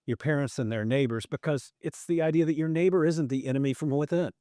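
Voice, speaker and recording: A man's voice: a little high, quick pace, dark tone, no room sound, clean studio sound. A middle-aged man from Kentucky hosting a podcast in earnest, casual conversation, often repeating words as he starts a thought. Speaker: podcast host and state governor.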